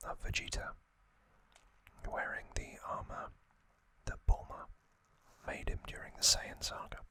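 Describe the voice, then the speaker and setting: A man whispering slowly and deliberately in breathy, hushed short phrases, as an ASMR host narrating trivia. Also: low-quality recording.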